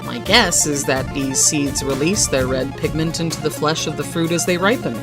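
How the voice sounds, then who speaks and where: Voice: medium pitch, moderate pace, music in the background, close to an everyday voice. A storyteller voicing an enthusiastic, instructive man who adores exotic fruit in a short story. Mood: explaining. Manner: instructive, absorbed, adoring. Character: Thomas, enthusiastic male host explaining an exotic fruit.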